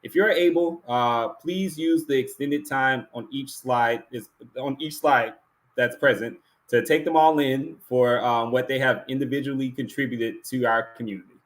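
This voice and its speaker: A man's voice: medium pitch, moderate pace. A man hosting an awards ceremony, presenting informally from a partial script in a casual, courteous manner.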